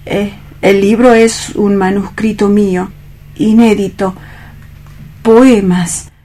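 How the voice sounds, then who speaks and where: An actor with an accent from Spain playing a timid, hapless young poet in a radio play reading, halting and meek. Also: male voice, high voice, little pitch movement, mellow, heavily acted. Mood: nervous, hesitant.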